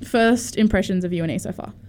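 A woman's voice: mid-range voice, fast, a little mellow. A young woman hosting a student radio show, chatting in a bubbly, giggly, rambling way. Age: young adult. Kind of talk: casual radio chat.

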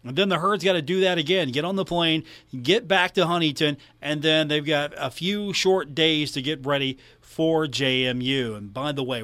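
A man's voice: a little high, a bit bright, dry recording. An American man talking as a sports radio host, delivering commentary and announcements into a close studio microphone. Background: music.

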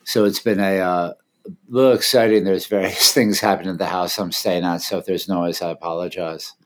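Brief: deep-voiced fifties male filmmaker, unhurried and hesitant, on a video call. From Washington, D.C. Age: 50s. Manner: relaxed, hesitant, many fillers. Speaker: filmmaker and festival founder, guest. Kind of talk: casual interview over video call.

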